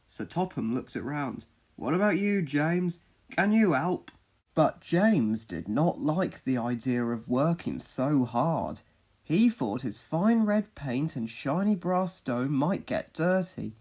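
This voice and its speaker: A man giving an expressive, scripted narration of a children's storybook read-along. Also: mid-range voice, slow pace.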